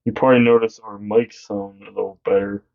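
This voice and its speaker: A young man with a deep voice co-hosts a podcast in casual chat. He rambles and halts, piling up fillers as he goes. The mic sounds slightly dull. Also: level tone, creaky. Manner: rambling, halting, many fillers. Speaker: podcast co-host.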